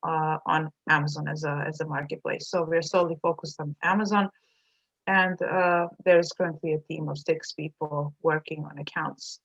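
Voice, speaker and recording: A woman's voice: low-pitched, steady tone, moderate pace, vocal fry, fairly bright tone, breathy edge, dull audio. A woman from Serbia, a native Serbian speaker, talking casually as an interview guest, hesitant with many fillers. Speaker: agency owner, guest.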